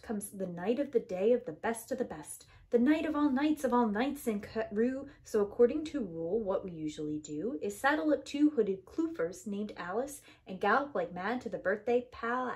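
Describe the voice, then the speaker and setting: Lady preschool teacher doing storytime, kinda high voice, super up and down, bouncy sing-song rhymes. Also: animated, moderate pace, hardly any pauses, darkish tone. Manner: sing-song, emphatic rhythmic delivery. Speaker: preschool teacher reading to children. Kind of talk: animated read-aloud of a rhyming picture book.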